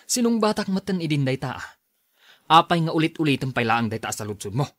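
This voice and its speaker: An actor playing a surly, jealous, hard-drinking husband in a Filipino radio drama, with a Filipino accent. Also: male voice, fairly high pitch, sing-song, fairly quick, fairly bright tone, no echo, clean studio sound. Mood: angry, accusing.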